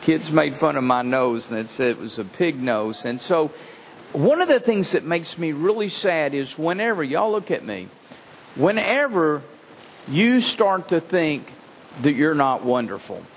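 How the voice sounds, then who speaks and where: A man's voice: on the high side, lively intonation, measured pace, slight background noise. A man giving a children's sermon, earnest and addressing the kids directly. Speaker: children's pastor. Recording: hall reverb with faint ambience.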